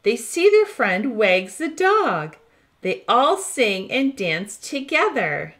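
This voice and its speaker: A woman hosting a children's storytime, reading a picture book aloud in an expressive, deliberate way. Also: fairly high pitch. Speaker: host of children's read-aloud show.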